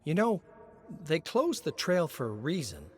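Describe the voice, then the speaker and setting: A man's voice: on the high side, wildly swooping pitch, measured pace, faint music behind, voice pushed up. A man voicing an uneasy, wary young woman hiker in a horror story.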